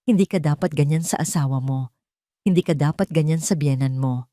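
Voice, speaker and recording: A woman's voice: sits low, brisk, warm tone, no echo, studio quality, close to an everyday voice. A woman voicing a cold, wealthy older mother-in-law who scolds with contempt in an audio drama.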